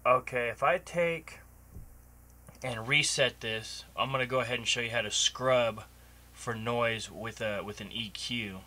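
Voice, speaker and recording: A man's voice: mid-range voice, measured pace, pushed and bright. A man casually walking through a home recording tutorial on a cheap microphone in a home room, rambling with ums and restarts. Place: home room with computer running and an open door.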